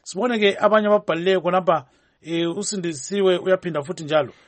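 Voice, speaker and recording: A man's voice: high-pitched, fast talker. A man with a Zimbabwean accent co-hosting a live talk show, presenting and reading out messages in English and Ndebele. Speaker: radio host.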